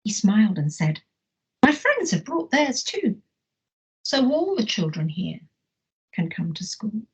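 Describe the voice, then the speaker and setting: A woman's voice: mid-range voice, sing-song, a little slow, husky, highs cut off. A Welsh-born middle-aged woman with an English accent, reading a picture book aloud and asking schoolchildren questions in an online lesson. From Wales. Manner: gentle storyteller, asks children questions. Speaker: teacher. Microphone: live online lesson mic.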